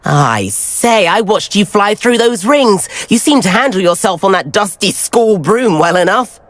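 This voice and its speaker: Lively, well-spoken schoolboy. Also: male voice, sits high, a little slow, slight background noise. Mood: eager, inviting. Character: friendly, eager, adventurous teenage schoolboy. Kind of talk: video game character acting.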